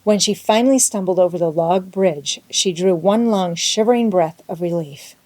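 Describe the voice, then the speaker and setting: A woman narrates an audiobook in a mellow, slightly low voice. Her scripted storytelling is expressive, and the sound is very dry, with no room around it. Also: moderate pace, no room sound.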